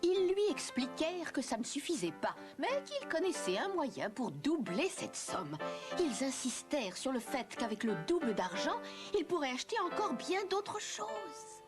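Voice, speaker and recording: A woman tells a scripted children's story. Her voice is a little high and rises and falls animatedly. Music plays clearly behind her. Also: sing-song, a little slow. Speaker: storyteller, narrator.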